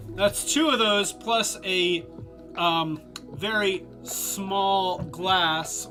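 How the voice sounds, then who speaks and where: Casual toy-review streamer, slow unhurried delivery, stuffy congested voice, music playing underneath. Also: mid-range voice. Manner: rambling, many fillers and restarts. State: congested, losing voice; tipsy from drinks.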